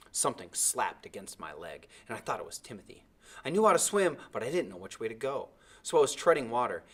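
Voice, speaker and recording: Animated man reading a story aloud. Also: on the low side. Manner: dramatic, animated. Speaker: storybook narrator. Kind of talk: expressive read-aloud narration.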